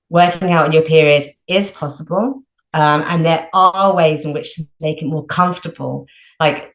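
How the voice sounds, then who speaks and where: A woman health coach giving casual advice as an interview guest, chatty and full of "like" and "you know". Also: sits low. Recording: roomy echo.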